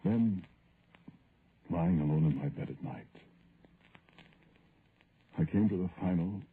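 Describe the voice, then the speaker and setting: A man's voice: low-pitched, takes it slowly. An actor playing a guilt-ridden, grieving man who narrates his ghost story in a solemn, literary radio drama style. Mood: anguished, brooding. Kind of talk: vintage radio horror drama acting. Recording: noisy, narrow-band vintage radio recording.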